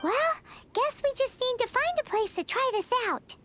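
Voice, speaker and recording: A woman voicing a small, perky, blunt childlike fairy companion in a video game, with a squeaky voice. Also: lively intonation, moderate pace, very noisy. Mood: eager. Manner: refers to self in third person, blunt. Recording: music behind the voice. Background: background music.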